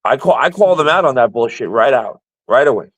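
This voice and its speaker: A man's voice: medium pitch, lively intonation, a little fast, no room sound. A man speaking as a guest in a casual call-in chat, blunt and profane, with a stutter that makes him repeat words as he starts.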